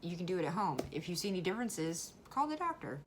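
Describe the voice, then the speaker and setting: Low-voiced woman chatting casually. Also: sing-song, fast talker, a little hiss or hum. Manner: chatty, repeats words, laughs often. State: hoarse. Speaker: vlogger. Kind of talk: casual educational vlog.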